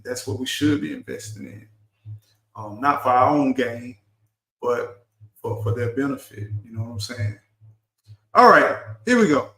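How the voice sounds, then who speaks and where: A man's voice: mid-range voice, fairly bright tone, reverberant, poor audio. A middle-aged man hosting a live stream, talking earnestly and urging his listeners, often repeating and restarting words. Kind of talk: casual live stream talk.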